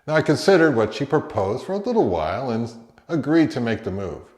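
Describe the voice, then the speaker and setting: A middle-aged man narrating a hi-fi vlog in a conversational, measured, explanatory way. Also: medium pitch, very animated, roomy sound. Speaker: hi-fi YouTuber. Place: indoors in a home room.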